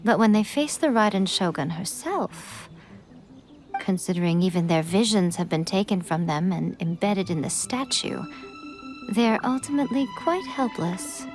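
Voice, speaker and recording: A woman playing an elegant, shrewd fox spirit in a video game, teasing and coy, with measured, formal phrasing. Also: mid-range voice, animated, lightly acted. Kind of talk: scripted video game voice acting. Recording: background music under the voice. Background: game soundtrack music.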